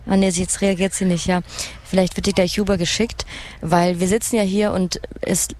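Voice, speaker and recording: A woman's voice: lowish voice, fairly even intonation, normal speed, darkish tone. A woman co-hosting live festival radio, chatting and asking questions in a casual, colloquial way. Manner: informal, colloquial. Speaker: radio co-host and interviewer. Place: open lounge area at a festival site. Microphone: broadcast microphone.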